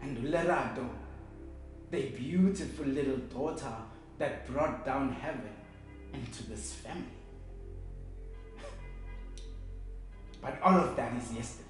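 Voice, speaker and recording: An actor with a South African accent playing a grieving, bitter man who delivers a halting, emotive funeral eulogy as a theatrical monologue. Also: fairly high pitch, animated. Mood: solemn, grieving. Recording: very echoey room, music behind.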